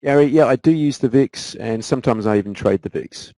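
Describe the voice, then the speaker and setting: A man's voice: medium pitch, a little fast, warm tone, dry recording, low-quality recording. A man with an Australian accent, a guest trader answering viewer questions in a casual live Q&A, often repeating words as he restarts sentences.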